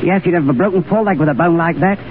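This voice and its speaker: An actor playing a loyal, deferential English manservant turned soldier, in 1930s radio style. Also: male voice, fairly high pitch, rapid-fire, phone-quality sound, natural voice. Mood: fond, concerned. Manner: deferential, homely. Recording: thin, noisy 1930s radio recording.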